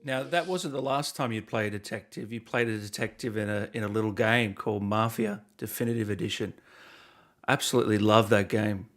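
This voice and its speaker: A man's voice: on the low side, brisk, a bit bright. A man with an Australian accent interviewing a guest in a matey, informal, complimentary way. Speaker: interviewer, gaming show host. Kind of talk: casual interview chat.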